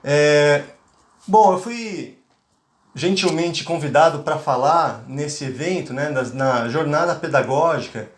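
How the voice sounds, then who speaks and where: A man's voice: on the high side, slow pace, echoey room. A man from Bahia giving a talk to teachers as a conflict mediator, speaking with frequent fillers and restarts. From Bahia, Brazil. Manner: hesitant, many fillers and restarts. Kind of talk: online lecture to teachers.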